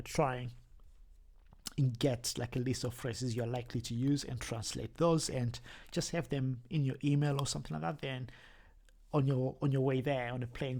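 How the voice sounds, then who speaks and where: A young man with a thick Zimbabwean accent walks through a casual tutorial. His voice is gravelly and a bit husky, and he often trips over his words and repeats them before carrying on. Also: medium pitch, darkish tone. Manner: halting, many repeated words and restarts. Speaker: YouTuber. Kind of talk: casual screen-share tutorial.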